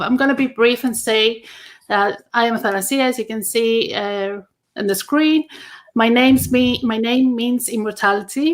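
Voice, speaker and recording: Cheerful woman speaker, slightly high. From Greece. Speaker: workshop speaker. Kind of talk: online interview.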